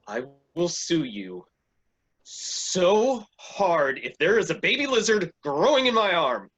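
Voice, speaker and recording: A man's voice: sits high, sing-song, slow, a bit bright, breathy edge, exaggerated voice. A man playing a grumpy, whiny, bumbling police chief in a staged reading of a comedy. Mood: indignant. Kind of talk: staged reading of a comic play. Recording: slightly dull, lo-fi sound.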